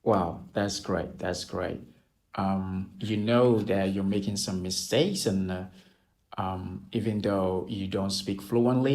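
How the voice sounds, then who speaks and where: A man hosting an online language chat, encouraging the speakers and recapping what they say, often with "right?" tags. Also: fairly low pitch. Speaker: host. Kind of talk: online conversation party chat.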